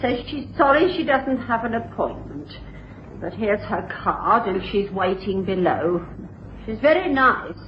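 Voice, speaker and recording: An actress playing a kindly, chatty, deferential housekeeper in a radio drama. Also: female voice, mid-range voice, very animated, measured pace. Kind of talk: 1980s radio drama acting. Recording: roomy, dull, noisy radio broadcast.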